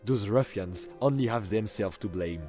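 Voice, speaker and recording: A man's voice: fairly low pitch, moderate pace, warm tone, faint music behind, close to an everyday voice. A man voicing a polite, effusive French hotel concierge with a French accent in an audiobook. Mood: courteous, welcoming. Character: courteous, eager French male hotel concierge. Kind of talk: audiobook character dialogue.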